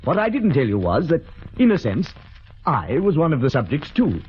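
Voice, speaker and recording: An actor playing a calm, villainous doctor, polite and clinical, in 1940s radio drama style. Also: male voice, fairly high pitch. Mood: coldly menacing. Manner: polite, measured, hesitant pauses, clinical. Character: calm, cold, calculating villainous male doctor, smugly scientific. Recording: muffled, noisy vintage radio recording.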